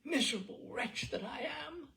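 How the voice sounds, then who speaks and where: A woman's voice: high voice, expressive, moderate pace, a bit bright, a little hiss or hum, pitched above the performer's own voice. A woman voicing a frightened, desperate male farmer who pleads and groans with shaky courage in a story reading. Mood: desperate remorse. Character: terrified, desperate New England farmer, brave through his fear.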